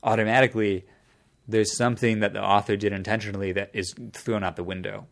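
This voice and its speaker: A man's voice: fairly low pitch, level tone, quick pace, creaky. A man in casual conversation, explaining a topic at length in a thoughtful way, often restarting his sentences.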